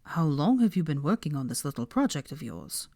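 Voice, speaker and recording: Woman as a young man, lowered pitch, slow quiet drawl, cool, bemused, storybook character. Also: big pitch swings, no room sound, clean studio sound, heavily acted. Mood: concerned.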